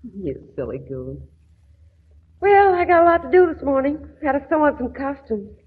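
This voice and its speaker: An actress in an old radio drama playing a kind-hearted, streetwise young nightclub dancer with a slangy, chummy manner. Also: female voice, high-pitched, big pitch swings, moderate pace. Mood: breezy, teasing. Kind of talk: 1940s radio drama acting. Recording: muffled, narrow old radio recording.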